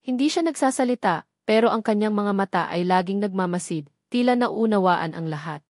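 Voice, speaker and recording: A woman's voice: mid-range voice, expressive, a little fast, darkish tone, dry recording. Filipino woman narrating drama, dry studio sound. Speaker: story narrator. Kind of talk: dramatic first-person scripted narration in Tagalog fiction.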